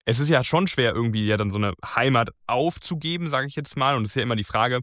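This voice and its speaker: A man's voice: medium pitch, quick pace, a bit bright, dry recording, studio quality. A man hosting a casual conversation, talking hesitantly and searching for his words. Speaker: host.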